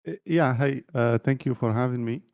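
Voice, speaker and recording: A man's voice: mid-range voice, normal speed, darkish tone, clean tone, dull audio. A middle-aged man from Algeria, a tech executive, answering interview questions casually and hesitantly with many fillers. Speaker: CTO.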